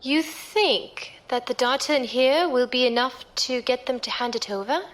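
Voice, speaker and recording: Woman acting a high-voiced, playful code breaker. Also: normal speed, natural voice. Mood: puzzled, probing. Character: curious, playful female code breaker, pirate's sidekick. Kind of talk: audio drama acting.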